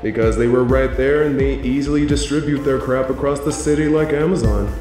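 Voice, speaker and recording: A man's voice: mid-range voice, fairly quick, natural voice. A man playing an indignant villain in an audio drama, sputtering and incredulous, with music behind the voice. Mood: puzzled, irritated. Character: indignant, flustered male villain caught by a heroine. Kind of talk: audio roleplay drama acting.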